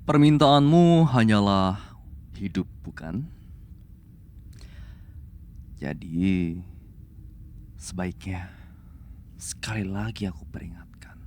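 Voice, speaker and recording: A man's voice: sits low, slow, long pauses, a little mellow, slight background noise. A man playing a young tsundere demon prince in an ASMR roleplay, haughty but easily flustered, slipping between cold menace and casual rambling. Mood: stern, menacing. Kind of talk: Indonesian ASMR roleplay acting.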